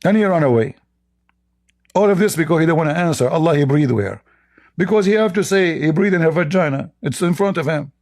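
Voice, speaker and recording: A man's voice: fairly high pitch, expressive, a little fast, darkish tone. A man whose first language is Arabic, hosting in casual commentary, sarcastic and mocking, often repeating himself. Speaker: host.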